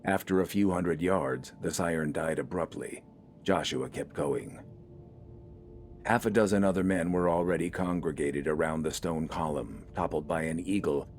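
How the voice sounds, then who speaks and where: A man's voice: extremely low pitch, darkish tone, slight background noise. A man reading scripted fiction as the narrator of an audio story. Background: faint music.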